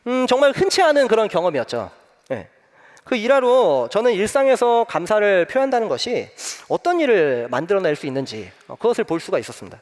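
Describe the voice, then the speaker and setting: A young man preaching a sermon as pastor, telling a personal story with many pauses and filler sounds. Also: high-pitched, sing-song, in a large hall. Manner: gentle, frequent "eo" fillers, warm storytelling.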